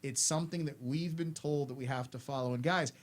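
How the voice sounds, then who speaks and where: A man's voice: mid-range voice, brisk. Friendly man giving school announcements. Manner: friendly, instructive, repeats points. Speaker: school administrator hosting afternoon announcements.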